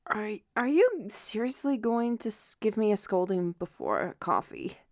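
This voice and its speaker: A woman's voice: mid-range voice, sing-song, a little slow, dry recording, studio quality. A woman playing a troubled, self-reproaching young writer in an intimate audio drama, speaking in a hesitant, weary way. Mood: wry, defensive.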